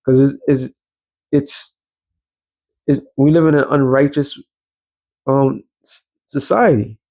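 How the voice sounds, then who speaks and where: A man's voice: medium pitch, gravelly, darkish tone. A man rants in a blunt, profane street-preacher style. He switches between loose commentary and reading scripture aloud, in an ordinary mid-range voice.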